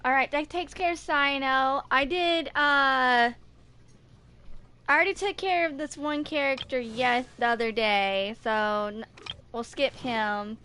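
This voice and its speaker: A woman's voice: sits high, a little slow, bright, forceful tone. A woman in her twenties reading aloud and commenting casually on a noisy amateur recording. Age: 20s. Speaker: video creator reading game character stories.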